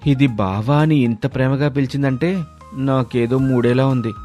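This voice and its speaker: A man's voice: mid-range voice, normal speed, mellow, soft background music, close to an everyday voice. A man playing a teasing, suspicious young village husband in a comic story. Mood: puzzled, suspicious.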